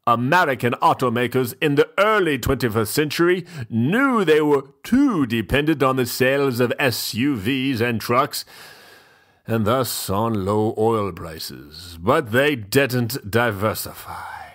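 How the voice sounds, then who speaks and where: A man playing a pompous, fussy, self-indulgent gentleman host who gives mock-literary dramatic readings with drawn-out, theatrical pauses. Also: mid-range voice, lively intonation. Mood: grandly dramatic.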